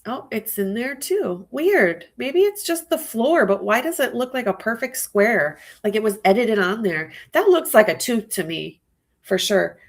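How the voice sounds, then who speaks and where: A woman's voice: on the high side, very animated, a little slow. A woman hosting a true crime livestream, musing aloud to her viewers with a stuffy nose. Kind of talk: casual live-stream commentary.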